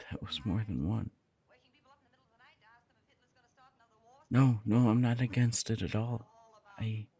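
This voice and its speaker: A man acting as a bashful punk roommate hopelessly in love. The voice is low and unhurried, with halting, earnest phrasing, made for an intimate ASMR romance roleplay. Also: creaky, mellow, slightly rough, heavily acted. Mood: tender, pleading.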